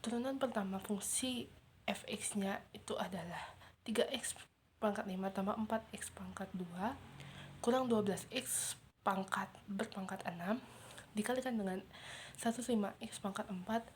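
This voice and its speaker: A teenage girl working methodically through math problems step by step, in a soft, half-whispered way. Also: medium pitch, moderate pace, slight background noise. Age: teens. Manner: steady, methodical, working through steps aloud. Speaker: student explaining homework. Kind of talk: math walkthrough.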